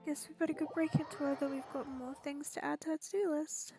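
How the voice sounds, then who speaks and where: A woman streaming a game in a very high voice. She comments casually, with a playful, wry manner. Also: steady tone, fast, mellow, faint music behind. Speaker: game streamer reacting to the story.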